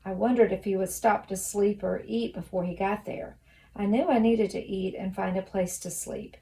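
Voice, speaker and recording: A woman reading a children's novel aloud as expressive storybook narration. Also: mid-range voice, moderate pace, low-quality recording.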